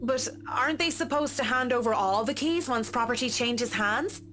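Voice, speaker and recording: A woman's voice: fairly high pitch, normal speed, fairly bright tone, soft background music, natural voice. A woman voicing a practical, matter-of-fact young woman in a game. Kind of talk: voiced video game dialogue.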